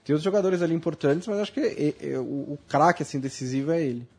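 Brazilian male football pundit, quick and husky, slightly raised voice, animated debating. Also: a little high. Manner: fast, animated, many restarts. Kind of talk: casual sports debate.

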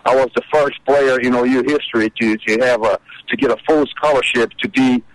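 A man in his sixties from Brazil with a Brazilian Portuguese accent, a guest recalling his playing days in a casual interview, halting with many repeats and restarts. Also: mid-range voice, fairly even intonation, no echo, poor audio. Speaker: guest, former college kicker.

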